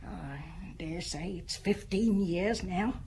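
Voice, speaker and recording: An actress playing a blunt, sociable middle-aged widow who keeps a small bar, talking plainly and colloquially in a radio play. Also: female voice, on the low side, slow, gravelly, dark tone, slight background noise. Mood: chatty, hospitable. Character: fat, sociable, blunt older widowed woman bar keeper. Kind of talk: radio drama acting.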